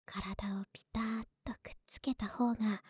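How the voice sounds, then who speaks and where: A woman's voice: fairly high pitch, little pitch movement, moderate pace, a little mellow, breathy edge, no echo, clean studio sound. A woman playing a friendly, clingy older-sister type neighbor in a cutesy, coaxing, intimate ASMR voice drama. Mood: cozy, intimate. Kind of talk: Japanese ASMR situation voice drama acting.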